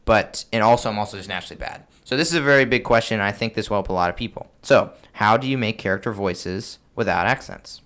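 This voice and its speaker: A man's voice: lowish voice, quick pace, few pauses, fairly bright tone. A man hosting a podcast, explaining things in a casual, chatty way. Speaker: podcast host.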